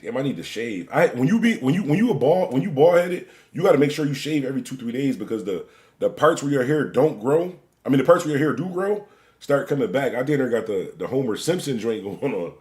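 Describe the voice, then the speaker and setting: Man in his forties, lively swinging pitch, loose rambling stream chat, repeats himself. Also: fairly quick. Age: 40s. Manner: "bro" and "gang" at line ends. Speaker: stream host. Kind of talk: casual live-stream commentary.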